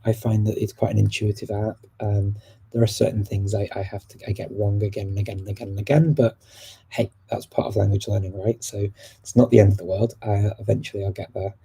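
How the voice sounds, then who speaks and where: Gravelly, dark-voiced man. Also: lowish voice, brisk, rough recording. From United Kingdom. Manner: relaxed and chatty, answering questions as they come in. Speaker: language-learning livestream host. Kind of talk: casual live chat answering viewers.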